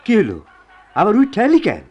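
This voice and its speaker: Young village man: protective, earnest, hugely varied pitch, rural Bangladeshi accent, radio drama. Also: wildly swooping pitch, fairly quick, a little mellow, highs cut off, a little hiss or hum. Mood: concerned.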